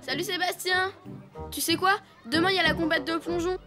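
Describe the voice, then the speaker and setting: A woman's voice: extremely high pitch, fairly even intonation, fairly quick. A woman voicing an eager, boastful young boy elephant in a children's cartoon. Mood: eager, excited. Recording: loud music behind the voice.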